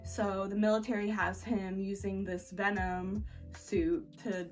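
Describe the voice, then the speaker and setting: A woman with a medium-pitched voice reviews books in a casual, conversational vlog style. She speaks informally and gives her opinions with plenty of slang. The mic sits a little away from her, with faint music behind. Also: flat delivery, unhurried, far from the mic. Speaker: book reviewer vlogger. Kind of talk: casual chatty book review to camera.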